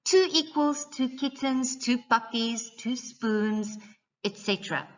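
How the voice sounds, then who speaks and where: A woman's voice: a little high, takes it slowly, dull audio. A woman teacher giving a scripted presentation to parents in a didactic, carefully enunciated way.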